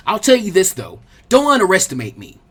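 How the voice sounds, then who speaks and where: Brash boy ninja for audio story, played by a narrator: hot-headed, defiant, animated pitch. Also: a little fast, husky. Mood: cross, indignant. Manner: shouting, cross, defiant. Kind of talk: expressive fan fiction character reading.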